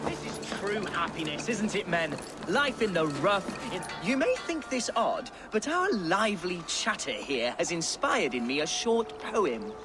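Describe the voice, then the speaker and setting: An eager young nobleman from a historical video game. His voice is high and swings expressively as he talks in florid, wordy, apologetic turns. Music plays behind him. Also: big pitch swings, a little slow. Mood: eager, theatrical. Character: boisterous young nobleman, eager amateur poet, earnest and flustered. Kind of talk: video game character acting.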